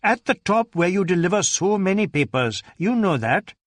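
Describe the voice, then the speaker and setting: A man acts out an Indian police inspector in a detective audiobook. He is persistent and a little anxious, probing and coaxing with a somewhat high pitch. The sound is close and dry. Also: sing-song, normal speed, dry recording. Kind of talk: audiobook character dialogue.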